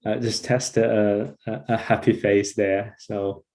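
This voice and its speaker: A man with a Chinese accent, a native Chinese speaker, interpreting informally in a halting, hesitant manner. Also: on the low side, little pitch movement, darkish tone. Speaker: volunteer interpreter.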